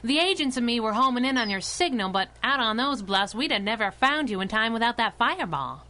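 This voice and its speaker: Bright, sassy radio sidekick. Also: female voice, fairly high pitch, animated, fairly quick. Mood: wry, tough. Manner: sassy, teasing, clipped. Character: wisecracking, tough, affectionate young superhero sidekick woman. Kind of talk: 1930s-style radio drama acting.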